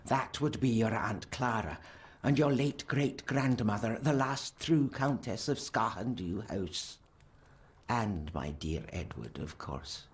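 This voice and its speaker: A man playing an elderly aristocratic lady in a game drama, genteel, measured and evasive. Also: fairly low pitch, animated. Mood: wistful, evasive. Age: older. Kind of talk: video game drama dialogue.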